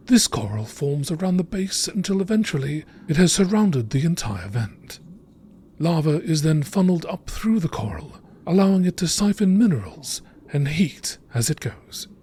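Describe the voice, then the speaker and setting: Male narrator, nature documentary read, formal and deliberate with lively intonation, faint noise behind. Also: on the high side. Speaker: narrator reading game lore entries.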